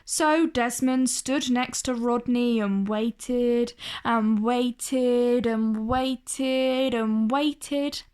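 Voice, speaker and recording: A woman narrates a children's storybook in a fairly high voice. Her delivery is expressive and very unhurried, in the manner of a read-aloud host. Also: smooth.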